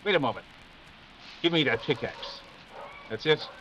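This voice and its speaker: A man's voice: medium pitch, lively intonation, normal speed, fairly bright tone, lightly acted. Man as a self-assured radio-play detective, formal, authoritative, swooping intonation, slightly noisy dull audio. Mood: commanding. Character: brisk, commanding, self-assured male detective. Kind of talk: 1940s radio mystery acting.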